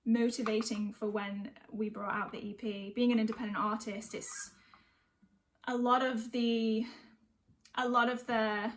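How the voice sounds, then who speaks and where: A woman's voice: on the high side, little pitch movement, unhurried, rough recording. A young woman from North West England, a singer-songwriter, chatting casually between songs in a hesitant, reflective, rambling way. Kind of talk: casual chat between songs at an online gig.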